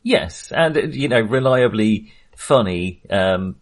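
A man's voice: lowish voice, expressive, slow pace. A man co-hosting a casual film discussion in a conversational, hedging manner. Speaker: film podcast co-host.

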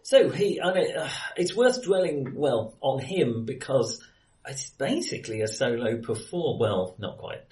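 A man hosts a casual film podcast in a mid-range voice. His delivery is halting, as he feels around for the right word. The recording is roomy and echoey, with him sitting some way back from the microphone. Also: mid-range voice, big pitch swings, dark tone. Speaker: podcast host. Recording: roomy echo, distant from microphone.

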